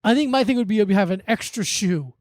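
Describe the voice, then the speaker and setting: A man's voice: sits high, fast, darkish tone, no room sound, studio quality. A man co-hosting a podcast, riffing through casual comedic chat and laughing often. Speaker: podcast co-host.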